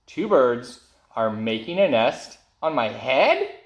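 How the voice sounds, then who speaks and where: A man voicing an anxious, excitable, easily exasperated elephant from a children's storybook, with dramatic, fretful exclamations. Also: mid-range voice, slow, muffled recording, voice pushed down. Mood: worried, baffled. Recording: roomy echo.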